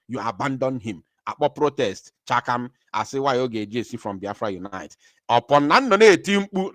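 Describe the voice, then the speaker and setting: Male livestream host, impassioned and repetitive, mid pitch, dry mic. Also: no room sound. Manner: emphatic repetition, swings from quiet to loud.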